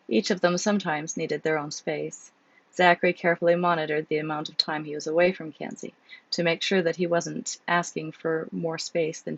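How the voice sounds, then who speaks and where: A woman with a cold who chats casually as an author and then reads from her own novel, sounding hoarse. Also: low voice, no room sound, highs cut off. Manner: chatty, with fillers before reading. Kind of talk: casual chat, then book reading.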